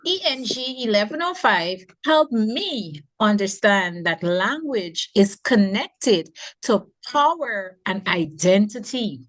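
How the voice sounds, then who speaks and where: Slow-speaking woman leading a panel. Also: mid-range voice, animated. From Guyana. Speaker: student panelist. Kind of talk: class panel discussion.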